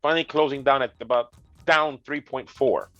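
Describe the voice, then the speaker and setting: A congested man co-hosting a gaming news chat, making dry, deadpan jokes as he explains the news. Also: medium pitch, normal speed, no echo, highs cut off. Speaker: co-host, retro gaming specialist.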